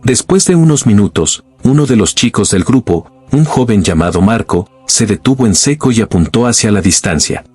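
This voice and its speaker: A man reading a scripted first-person horror story in a slow, grave, suspenseful way. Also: fairly low pitch, lively intonation, a little mellow, slightly rough, no room sound, faint music behind. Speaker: narrator.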